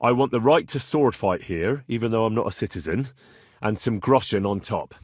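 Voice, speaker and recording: Game role: cheeky young swordsman in a medieval town. Husky, very animated, blunt bargainer. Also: on the low side, moderate pace, dry recording, clean studio sound. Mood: cocky bargaining. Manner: blunt, haggling, cocky. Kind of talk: video game character dialogue.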